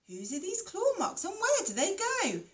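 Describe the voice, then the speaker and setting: A woman's voice: very high-pitched, moderate pace, voice pushed up, full character voice. A woman voicing a small, curious and brave young girl monster in a children's storybook. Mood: excited. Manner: excited, wide-eyed.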